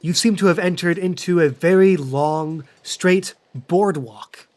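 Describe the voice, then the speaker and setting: A man's voice: high voice, a little slow. A man narrating an improvised tabletop game as game master, casual and playful in manner.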